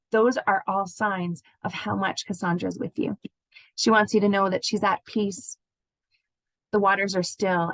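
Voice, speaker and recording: A woman's voice: mid-range voice, no echo, rough recording. Woman giving reassuring spiritual readings, dry close sound. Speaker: intuitive healer giving spiritual messages. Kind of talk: spiritual card reading addressed to named listeners.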